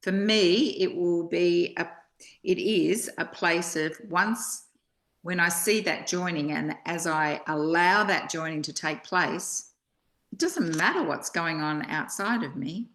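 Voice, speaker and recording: A woman sharing spiritual reflections in conversation, contemplative and pausing between short phrases, with a roomy echo on her voice. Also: on the low side, fairly bright tone. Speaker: study discussion partner. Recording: roomy, echoing sound.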